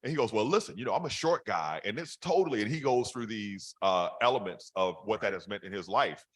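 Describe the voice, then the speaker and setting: Man, deep voice, lively and animated, casual storyteller on a video call. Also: a little fast, husky. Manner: animated storyteller, many fillers. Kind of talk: casual conversation.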